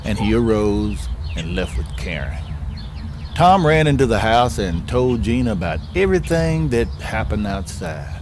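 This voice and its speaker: A man's voice: lowish voice, big pitch swings, measured pace. A man narrating an audio drama from a script, announcing characters and scenes. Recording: clearly noisy homemade recording.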